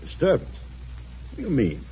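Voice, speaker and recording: An actor playing a well-to-do middle-aged husband, kindly but blunt, in an old-time radio drama. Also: male voice, on the high side, wildly swooping pitch, moderate pace. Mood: startled. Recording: muffled, noisy vintage radio recording.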